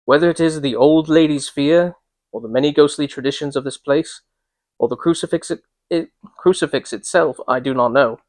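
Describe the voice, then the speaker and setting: A man reading and discussing a classic novel as host, in an informal, measured, slightly hesitant way. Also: fairly high pitch, no echo. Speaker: reader and host. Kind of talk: dramatic literary reading with casual commentary.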